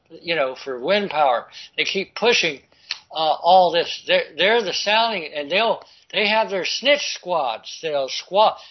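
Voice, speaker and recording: A man reading news articles aloud and commenting on them, halting and stumbling over words as he goes. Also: high-pitched, highs cut off. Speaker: political commentary host.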